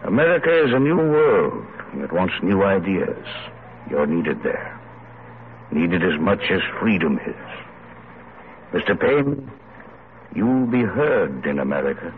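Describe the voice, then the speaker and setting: An actor playing a genial, shrewd elderly gentleman statesman with courtly, measured phrasing, in an old radio drama. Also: deep voice, very animated, exaggerated voice. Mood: earnest, persuasive. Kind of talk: 1940s-50s radio drama acting. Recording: tinny, noisy old radio recording.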